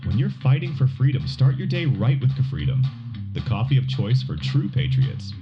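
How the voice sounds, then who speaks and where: A man playing a patriotic pitchman in a scripted radio commercial. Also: big pitch swings, moderate pace, lightly acted. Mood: proud, selling. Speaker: ad announcer. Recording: dull lo-fi radio, music underneath.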